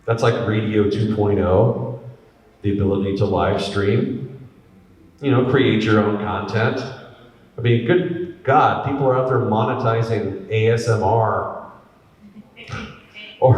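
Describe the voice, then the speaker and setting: A middle-aged man, a voice actor and former radio DJ, telling stories in a chatty, animated way at a convention panel. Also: mid-range voice. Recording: very echoey hall from far off.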